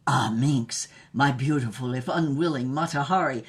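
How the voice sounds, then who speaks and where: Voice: medium pitch, moderate pace, close to an everyday voice. Performer as a suave, menacing Cuban dictator. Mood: silky menace. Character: grinning, menacing male Cuban revolutionary leader.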